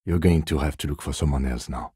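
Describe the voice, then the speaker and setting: A man playing a composed, by-the-book police detective in a video game, speaking in a measured, reserved, matter-of-fact way. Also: extremely low pitch, mellow, slightly rough, no room sound, studio quality, full character voice. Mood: firm, restrained. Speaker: voice actor. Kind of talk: video game character dialogue.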